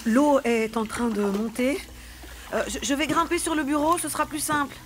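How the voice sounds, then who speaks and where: A woman's voice: high-pitched, steady tone, moderate pace, background noise, lightly acted. An actress playing a meek, flustered female employee in a satirical radio drama, speaking hesitantly with small stammers. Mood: anxious.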